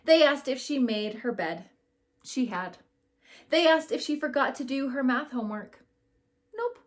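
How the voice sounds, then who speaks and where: Female educator, higher-pitched, unhurried, expressive children's storybook reader. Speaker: school educator reading to children. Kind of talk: read-aloud storytelling lesson for children.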